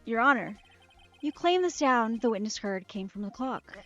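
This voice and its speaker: A woman voicing an old male judge who speaks in a formal, measured way. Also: on the high side, animated, close to an everyday voice. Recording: slightly muffled with game music.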